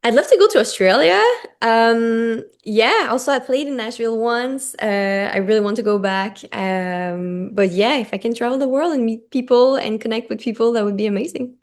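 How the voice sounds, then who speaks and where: French-speaking woman, hesitant and bright. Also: a little high, moderate pace. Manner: hesitant, many ums and restarts, laughs often. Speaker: singer-songwriter guest. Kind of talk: casual interview answers.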